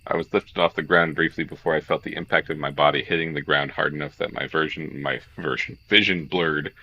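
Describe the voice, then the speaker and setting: A man hosting a visual novel read-along, moving between narration and jokey, excitable, sweary commentary. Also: a bass voice, fairly quick, a little hiss or hum, poor audio. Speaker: streamer, host of a game read-along.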